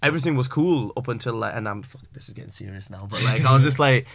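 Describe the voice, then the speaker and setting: A man's voice: mid-range voice, fast, gravelly, fairly bright tone. A young man from Pakistan, chatting loosely as a podcast guest, full of fillers and slang. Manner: rambling, filler-heavy, uses British slang. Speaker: guest wrestler. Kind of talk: casual podcast chat.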